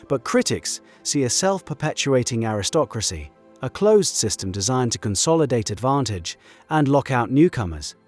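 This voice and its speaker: Man narrating with lively, swinging intonation over music. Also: mid-range voice. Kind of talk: scripted narration of a video essay. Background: background music.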